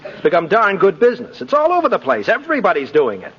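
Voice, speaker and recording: An actor playing an idealistic, persuasive lawyer, earnest and emphatic, in 1950s radio drama style. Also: male voice, high-pitched, wildly swooping pitch, fast, a crowd in the background, full character voice. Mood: enthusiastic. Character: idealistic, persuasive young male defense lawyer. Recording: noisy, dull 1950s radio recording.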